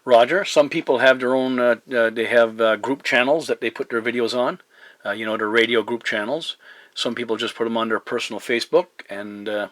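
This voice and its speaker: Male CB operator from Newfoundland, mid-pitched, polite, halting, casual radio-contact chat, dry acoustic. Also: steady tone, fairly bright tone, no room sound. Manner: hesitant, polite, spells in phonetic alphabet. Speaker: CB radio operator and video maker. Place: home radio desk, indoors. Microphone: camera pointed at the radio.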